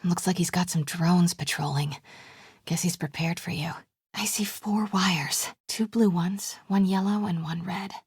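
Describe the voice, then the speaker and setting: A woman playing a determined young reporter in a video game, breathy and often hushed, with a wry way of talking to herself. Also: fairly low pitch, a little slow, dry recording, studio quality. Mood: curious, hushed. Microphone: close, dry studio mic.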